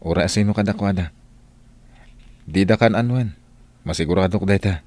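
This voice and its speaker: A male actor plays a dutiful restaurant employee in a radio drama. He has a lowish Ilocano voice and sounds steady and reluctant. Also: moderate pace, dry recording. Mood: firm, reluctant. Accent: Ilocano Filipino. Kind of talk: Filipino radio drama acting.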